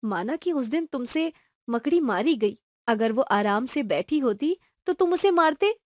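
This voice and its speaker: A woman's voice: sits high, expressive, measured pace, no echo, studio quality. A woman voicing a caring, firm mother. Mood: patient. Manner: warm, patient explaining. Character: caring young mother, firm but loving.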